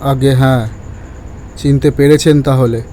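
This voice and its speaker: Actor as a muted, cryptic taxi driver. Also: male voice, mid-range voice, fairly even intonation, unhurried, warm tone, lightly acted. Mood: calm, knowing. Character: mysterious middle-aged male taxi driver, a student's father. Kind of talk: Bengali audio ghost-story acting.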